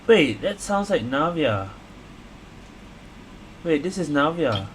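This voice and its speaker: A man's voice: fairly high pitch, very animated, slow pace, low-quality recording. A man giving casual, off-the-cuff gameplay commentary as a gaming streamer. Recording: loud game noise behind voice.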